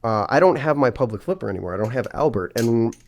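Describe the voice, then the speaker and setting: A man with a stuffy, plugged-up nose from a cold talks straight to his viewers in a loose, chatty vlog. He rambles and restarts his sentences as he goes, in an everyday middle-pitched voice. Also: lively intonation, fast, darkish tone. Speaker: host of a knife channel. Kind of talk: casual vlog chat.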